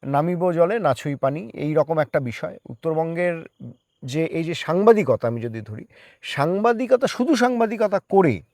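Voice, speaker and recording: A man's voice: fairly high pitch, expressive, normal speed, a little mellow, no room sound. A man talks as a guest in a conversational interview. He explains his views, slipping English words into his speech. The sound is dry, with very little room in it. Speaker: guest, part-time journalist.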